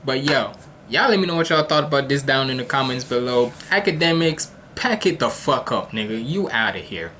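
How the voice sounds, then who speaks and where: A man's voice: medium pitch, expressive, brisk, sharp tone, a little hiss or hum. A man from Jamaica hosting a reaction video, talking casually and rambling with many restarts and slang.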